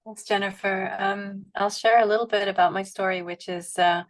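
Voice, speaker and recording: A woman's voice: mid-range voice, fairly even intonation, moderate pace. A middle-aged woman on a panel telling her own career story in a reflective, roundabout way, with many restarts and fillers, speaking over a video call from home. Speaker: panelist at a family foundation. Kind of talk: webinar panel talk.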